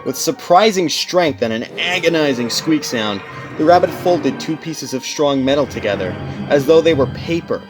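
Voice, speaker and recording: A man's voice: on the high side, expressive, moderate pace. Man narrating a dramatic audiobook over music. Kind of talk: scripted story narration.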